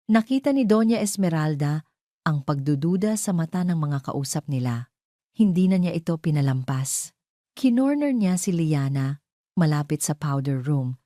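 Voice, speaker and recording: A woman's voice: deep voice, dark tone, no room sound, clean studio sound. A woman narrating a scripted dramatic story as an audio drama narrator.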